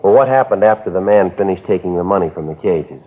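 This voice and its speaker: An actor playing a calm, polite police detective who asks questions in a deadpan, matter-of-fact way, in 1950s radio style. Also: male voice, deep voice, quick pace. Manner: clipped, deadpan, courteous. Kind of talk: 1950s radio drama acting. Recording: old 1950s radio recording, narrow tinny band.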